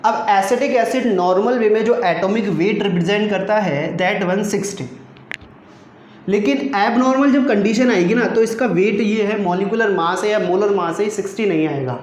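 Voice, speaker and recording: A man's voice: sits high, normal speed, echoey room, a little hiss or hum. A man teaching an online chemistry class, explaining step by step and asking questions he then answers himself. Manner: explains step by step, mixing Hindi and English, asks and answers his own questions.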